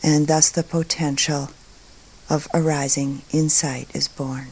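A woman with a low-pitched voice gives a guided meditation talk. She speaks very slowly and calmly, setting out each short phrase with care and leaving generous pauses between them. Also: slightly rough, low-quality recording. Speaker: meditation teacher.

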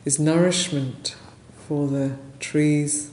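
Slow, mellow woman guiding a meditation. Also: low-pitched, fairly even intonation. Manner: gentle, unhurried, with long pauses between short phrases. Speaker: meditation teacher, Buddhist nun. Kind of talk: guided meditation. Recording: roomy echo, faint background noise.

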